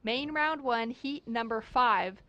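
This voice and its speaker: A woman's voice: fairly high pitch, takes it slowly, no echo, muffled recording. A woman calls a surfing heat live from the commentary booth. She runs through scores and surfers in an upbeat, fluent play-by-play. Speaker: surf commentator.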